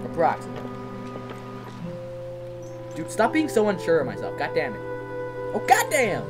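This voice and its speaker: Male gamer commentator, animated and self-mocking, game music under him. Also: on the high side, normal speed. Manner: rambling, self-deprecating, swears. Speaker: let's play commentator. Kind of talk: casual gameplay commentary.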